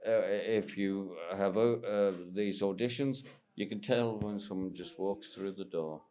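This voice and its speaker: A British man, a stage performer, chatting casually in a halting way, interrupting himself and swearing now and then. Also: on the low side, fairly even intonation, dark tone. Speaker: pantomime performer in conversation. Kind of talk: casual chat.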